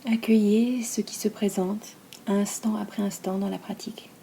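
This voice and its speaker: Female yoga nidra guide, French accent, dark relaxed tone, calm and soft with long pauses, slight background noise. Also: mid-range voice. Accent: French from France. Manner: soft, breathy, calm and soothing delivery; unhurried, with long pauses between phrases.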